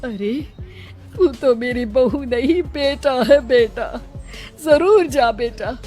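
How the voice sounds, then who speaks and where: A woman voicing an anxious, affectionate elderly village mother-in-law in a Hindi animated moral story, with a plaintive, fond manner. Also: high voice, slow, faint music behind. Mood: proud.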